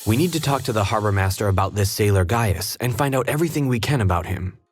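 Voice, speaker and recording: An actor playing a composed, earnest detective in an adventure game, courteous and deliberate as he guides his partner. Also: on the low side, animated, slightly rough. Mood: composed, resolute. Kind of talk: scripted adventure game dialogue.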